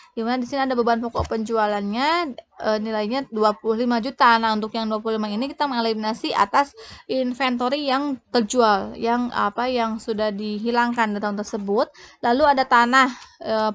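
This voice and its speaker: High-voiced woman explaining, halting. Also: a bit bright. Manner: halting, frequently breaking off and restarting. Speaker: accounting tutor presenting. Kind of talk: explanatory tutorial narration.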